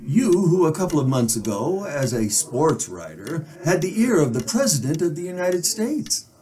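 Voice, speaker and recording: A man plays a jovial, teasing ghost in an audio drama, with a highish voice that swoops freely. He is theatrical and chatty, sputtering as he explains, over a clearly noisy recording. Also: sing-song, normal speed, dark tone. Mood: exasperated, chatty. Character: jovial talkative male ghost of dead football pal.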